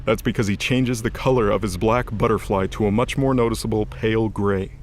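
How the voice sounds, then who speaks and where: A man's voice: on the low side, fairly even intonation, a little fast, slight background noise. A man narrating a scripted fantasy audio drama as its storyteller. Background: music bed.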